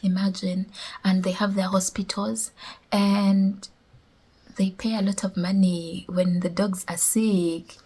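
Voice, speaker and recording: A young woman from Uganda speaks in a husky, slightly breathy voice, telling stories in an animated, chatty way. She talks casually to the camera with a conversation partner, laughing between her points. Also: mid-range voice, slow. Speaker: vlogger. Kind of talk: casual chat.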